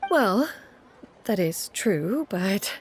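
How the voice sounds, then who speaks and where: An actress playing a proud, sassy ancient immortal in a video game, speaking in formal, archaic phrases and calling herself "one". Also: female voice, medium pitch, big pitch swings, very slow, faint music behind. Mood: reluctant, huffy. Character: proud, haughty, refined ancient immortal woman, dryly sassy.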